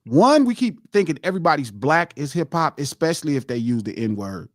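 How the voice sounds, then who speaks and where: Emphatic, high-pitched man lecturing his co-hosts. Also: big pitch swings, fairly quick, dry recording, studio quality. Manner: emphatic, preachy, repeats his points. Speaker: podcast host. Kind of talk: heated casual debate.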